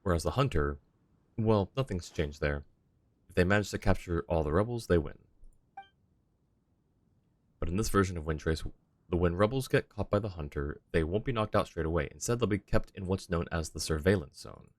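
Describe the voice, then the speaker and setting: A man's voice: deep voice, moderate pace, long pauses, no room sound. A tired man streaming a game late at night, chatting casually and reading game text aloud. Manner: relaxed, mumbling, reads game text aloud. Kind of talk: casual gameplay chat.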